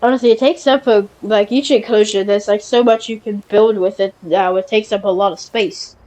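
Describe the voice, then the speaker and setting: A woman with a blocked nose from a cold, giving casual, halting commentary over a building game, with lots of fillers and restarts. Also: medium pitch, fairly even intonation, darkish tone. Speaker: gameplay video creator.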